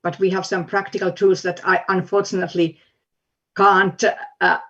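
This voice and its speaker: Husky woman on a call. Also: on the low side, little pitch movement, normal speed, fairly bright tone, dull audio. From Finland. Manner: hesitant, many restarts, earnest. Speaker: guest speaker, education expert. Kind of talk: online seminar discussion.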